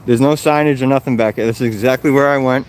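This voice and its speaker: A man's voice: mid-range voice, fairly even intonation, fast talker, clear, resonant tone. A man with a Boston accent, speaking through a face mask, talking politely and upbeat to people and to his viewers. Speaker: video creator filming police encounters. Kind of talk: casual vlog commentary.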